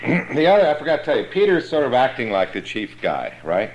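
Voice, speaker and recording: A man teaching a Bible class in a sermon style, explaining slowly and checking that his listeners understand. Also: medium pitch, very animated, muffled recording, a little hiss or hum.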